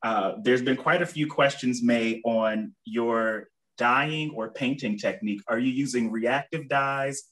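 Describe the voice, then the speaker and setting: A man's voice: mid-range voice, measured pace, a bit bright, rough recording. Young man moderating a video call. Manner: casual, reads audience questions aloud. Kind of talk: hosting a virtual Q&A. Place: home room with plants.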